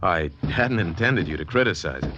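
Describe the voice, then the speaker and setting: A low-voiced man plays an earnest, haunted artist in his thirties. His delivery is intense and swings widely in pitch. It is a muffled 1940s radio mystery recording. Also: expressive, normal speed, noisy background. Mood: tense, insistent. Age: 30s.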